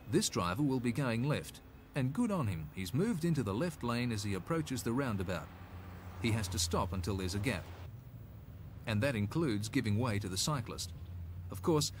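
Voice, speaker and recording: A man's voice: mid-range voice, background noise. A man with an Australian accent narrating a scripted 1980s road safety film in an instructive, slightly folksy way.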